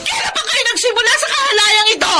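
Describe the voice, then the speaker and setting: A woman's voice: very high voice, fast talker. A woman playing an aggrieved adult daughter in a Filipino radio drama, tearful, scolding and impassioned, over music. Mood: furious, tearful. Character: outraged adult daughter shaming her sinful mother.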